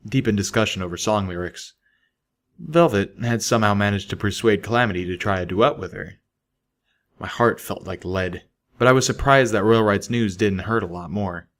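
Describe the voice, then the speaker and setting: A man reading a scripted first-person story as an audiobook narrator. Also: lowish voice. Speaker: audiobook reader.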